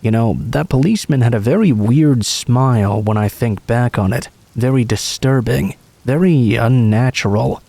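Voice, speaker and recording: A man reading scripted first-person stories as a narrator, in a measured storytelling way. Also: mid-range voice, gravelly, mellow, dry recording.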